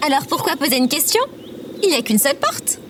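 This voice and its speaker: Woman playing high-voiced, logical adventurer. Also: huge pitch swings, fast, background noise. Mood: puzzled. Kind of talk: audio drama acting.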